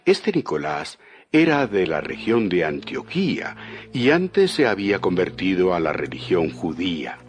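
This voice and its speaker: A man narrating a dramatized audio Bible as a scripted storyteller. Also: low voice. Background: music.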